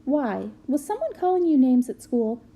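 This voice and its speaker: A woman voicing a gentle, concerned mother in a storybook, explaining things carefully. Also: high-pitched, big pitch swings, moderate pace.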